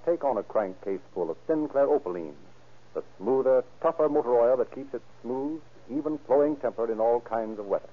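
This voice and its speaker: A man's voice: mid-range voice, expressive, brisk. A man narrating and announcing in a formal, declamatory, scripted 1930s radio style. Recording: muffled, lo-fi old radio recording.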